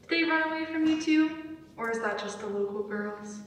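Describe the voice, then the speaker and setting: A woman's voice: high voice, moderate pace, natural voice. A woman acting a teasing, sardonic friend in a staged dramatic reading, recorded from far off in an echoey hall.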